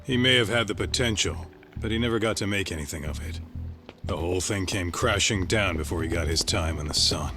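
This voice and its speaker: A man playing a gruff, streetwise tough guy in a video game, speaking in a blunt, offhand, slangy way. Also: low voice, a little fast, music in the background. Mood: matter-of-fact. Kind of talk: English video game dub acting.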